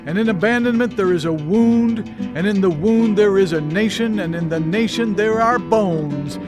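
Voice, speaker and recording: A man's voice: sits high, normal speed. A man performing spoken-word poetry as an incantatory recitation. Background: loud music.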